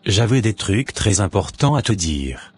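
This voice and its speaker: A man playing a sincere, devout young suitor in an animated religious story, speaking in earnest, formal phrases. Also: on the low side, measured pace, slightly rough, heavily acted. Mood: friendly, earnest.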